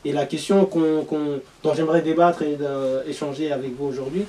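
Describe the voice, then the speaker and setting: A young man with a fairly high-pitched voice talks as a panelist in a casual discussion. He sounds a little way off from the microphone in a room. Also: steady tone, normal speed, dark tone. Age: young adult. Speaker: panelist presenting a football segment. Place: small meeting room around a table. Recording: distant room mic.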